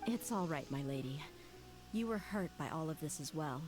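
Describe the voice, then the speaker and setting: A woman voicing a proud young female desert mercenary in a video game who speaks in a steady, earnest way. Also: low-pitched, moderate pace. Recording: game audio with faint music.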